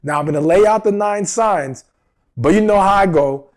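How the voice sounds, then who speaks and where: A man with a fairly high-pitched voice talks straight to his audience like a coach, earnest and emphatic. His voice carries a slight roughness from a cold he is getting over. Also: normal speed. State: recovering from a cold. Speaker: dating advice host. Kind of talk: direct-to-camera advice talk.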